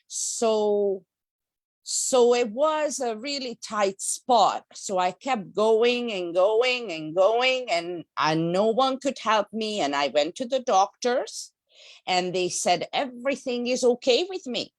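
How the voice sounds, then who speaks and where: A woman's voice: a little high, expressive, slow pace, no echo. A middle-aged woman from Hungary, a guest speaker telling her personal story in an earnest way on a talk show.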